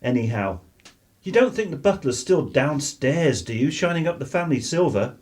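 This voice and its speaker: A man's voice: on the high side, sing-song, normal speed, darkish tone. A man playing a down-to-earth, teasing father in a radio play. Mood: amused, teasing. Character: down-to-earth working-class English father, gruffly teasing.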